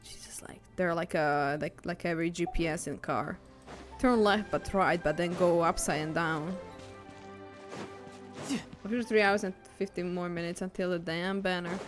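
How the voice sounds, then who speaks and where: Woman game streamer, lowish voice, offhand muttered asides, casual commentary, faint game music behind. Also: steady tone, moderate pace. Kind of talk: casual live gaming commentary.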